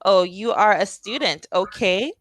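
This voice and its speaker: A woman tutoring English over a video call, speaking slowly and patiently and asking simple questions. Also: mid-range voice, no echo. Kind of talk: casual tutoring conversation.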